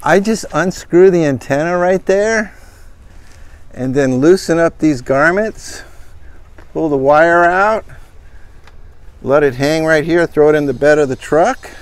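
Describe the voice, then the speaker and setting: A man with a slightly higher voice talks slowly through a homemade truck walkthrough. His phrasing is plain and homespun, full of fillers and restarts, in a relaxed show-and-tell manner. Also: no room sound. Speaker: vlogger showing his truck camper. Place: in and around a pickup truck.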